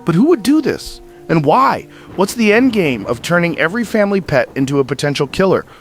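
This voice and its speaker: A man's voice: fairly high pitch, sing-song, a little fast, soft background music. A man playing a brash, conspiracy-minded radio talk show host in an audio drama, informal and punchy. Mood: uneasy, intrigued.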